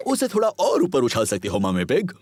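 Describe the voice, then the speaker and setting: A man voicing a boastful, jovial father pig in a children's cartoon, husky and quick to defend himself, with exaggerated intonation. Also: mid-range voice, wildly swooping pitch, noisy background. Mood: smug.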